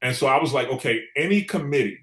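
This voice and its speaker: A middle-aged man from New York City talks as an interview guest in a medium-pitched voice. He tells his story in a conversational, emphatic way, repeating phrases to drive points home. The recording has a clear room echo. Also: animated, quick pace. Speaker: guest, former city council member. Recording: echoey room sound.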